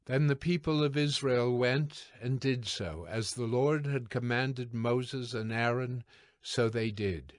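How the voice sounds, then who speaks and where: A man narrating scripture from a script in a measured, solemn manner. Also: medium pitch, no echo, clean studio sound.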